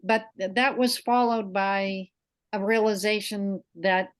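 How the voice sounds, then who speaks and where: A woman's voice: medium pitch, fairly even intonation, slow, clear, resonant tone. A middle-aged woman hosting an interview, speaking earnestly and reflectively about her own experience. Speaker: interview host. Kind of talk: conversational interview.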